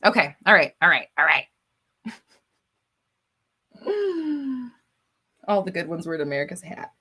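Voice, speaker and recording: Host type: upbeat woman, animated pitch, bright and chatty, reads comments live. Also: unhurried, breathy edge. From United States. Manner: bubbly, laughs often, reads out viewer comments. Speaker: livestream host. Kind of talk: casual live chat reading comments.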